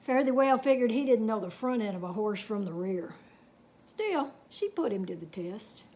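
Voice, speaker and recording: A woman reading a children's picture book aloud, with expressive, drawn-out words and folksy asides. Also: fairly high pitch.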